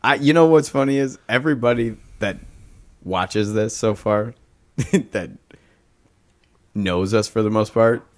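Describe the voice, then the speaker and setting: A man's voice: on the low side, a little slow, long pauses. A man in his thirties hosting a casual podcast chat, loose and profane, laughing often. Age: 30s. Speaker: podcast host.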